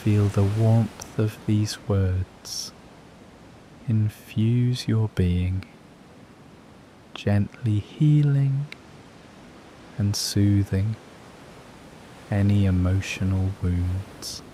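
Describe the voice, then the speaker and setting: A man guiding a scripted meditation in a soothing, gentle, measured way, leaving long pauses between phrases. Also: fairly low pitch, slight background noise. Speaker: meditation guide.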